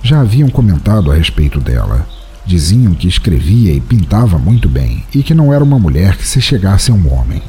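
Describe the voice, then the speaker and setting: A man acts out a broke, hard-drinking Brazilian writer narrating an audio drama. His low voice is very mellow and swings widely in pitch as he muses wistfully, a weary romantic telling his own story. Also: big pitch swings, brisk, soft background music. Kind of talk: first-person literary audio drama narration.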